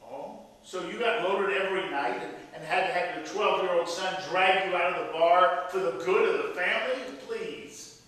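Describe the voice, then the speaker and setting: Actor as exasperated grown son, echoey stage. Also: male voice, sits high, moderate pace, fairly bright tone. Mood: bitter reproach. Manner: sardonic, reproachful. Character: exasperated, resentful, reluctantly loving grown son. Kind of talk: stage play dialogue. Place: theatre stage, recorded from the audience area. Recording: echoey theatre hall, distant mic, faint noise.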